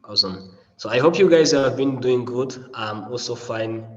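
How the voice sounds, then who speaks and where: A man's voice: mid-range voice, moderate pace, a bit bright, long reverb tail, muffled recording. A man with a mild flu presenting a learning module on a video call, upbeat, with many fillers and repeated phrases. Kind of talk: online teaching session.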